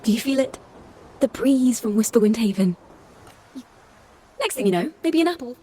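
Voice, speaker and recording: A woman voicing a playful, wry and poetic wandering bard in a video game, with a slightly breathy voice. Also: fairly high pitch, lively intonation, moderate pace, warm tone, slight background noise, lightly acted. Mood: gentle, resolute. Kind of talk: video game character acting. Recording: music behind the voice.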